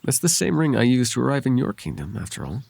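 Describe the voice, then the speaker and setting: A man voicing a gentle, devoted and bashful winged fairy in an ASMR roleplay, speaking tenderly and hesitantly in formal, old-fashioned phrases. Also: medium pitch, very animated, fast talker, gravelly. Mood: gentle, reassuring. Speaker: voice actor.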